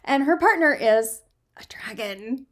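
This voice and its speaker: A woman's voice: on the high side, lively intonation, unhurried, fairly bright tone. A woman reading and chatting as an amateur storyteller, informal and self-deprecating, recorded in a guest room on a laptop microphone. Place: quiet guest room at home. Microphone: built-in laptop microphone.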